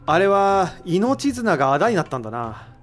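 Guy acting as a brainy man explaining a mystery in an audio drama, highish voice, music behind him. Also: big pitch swings, normal speed. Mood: explanatory, assured. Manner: explanatory, rhetorical questioning. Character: analytical man reasoning out a mystery aloud. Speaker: voice actor in an amateur audio drama. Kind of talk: dramatic dialogue, explaining deductions.

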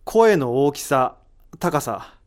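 A man playing a thoughtful, analytical character in a voice drama, deliberate and explanatory, posing rhetorical questions. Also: medium pitch, sing-song, a little mellow. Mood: explaining, intent. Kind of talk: amateur voice drama acting.

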